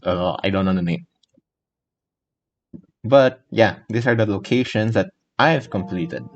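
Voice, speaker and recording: A man's voice: on the low side, measured pace, dull audio. A man giving casual, unscripted gameplay commentary in a hesitant, rambling, easily distracted way. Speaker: gaming video creator. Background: faint game music.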